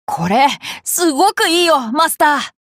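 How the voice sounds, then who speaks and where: A woman's voice: high-pitched, expressive, measured pace, fairly bright tone, slight background noise, heavily acted. A woman voicing a cheerful, curious boy king for an anime game, boyish and polite, with playful self-assurance. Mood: pleased, bright. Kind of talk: Japanese anime game character lines.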